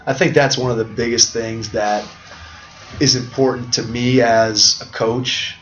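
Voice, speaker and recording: A man's voice: medium pitch, fairly even intonation, measured pace, fairly bright tone, faint music behind. A middle-aged man hosting a podcast interview, talking reflectively in long, winding sentences. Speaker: podcast host. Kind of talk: conversational interview. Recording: dull lo-fi audio.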